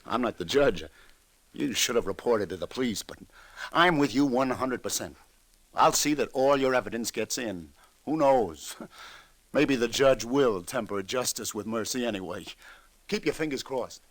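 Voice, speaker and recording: An actor with an American accent playing a world-weary, sympathetic New York police lieutenant in old-time radio detective style. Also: male voice, medium pitch, lively intonation, normal speed, natural voice, rough recording. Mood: frank, reassuring.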